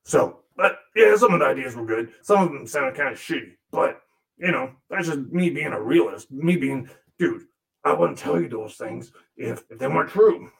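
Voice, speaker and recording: A foul-mouthed demon puppet grumbles and sneers in a gravelly, fry-laced voice, as a man puts him on. He sits at a middling pitch and rambles with crude sarcasm, griping about the man who works him. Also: expressive, moderate pace. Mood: sarcastic. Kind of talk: comic monologue in character.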